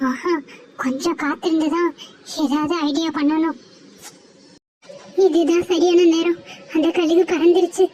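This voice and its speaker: A woman doing a very high fretful mother sparrow. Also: fairly even intonation, measured pace, long pauses, warm tone, low-quality recording. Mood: worried. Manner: scolding, anxious. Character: worried, scolding mother sparrow. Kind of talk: cartoon voice acting.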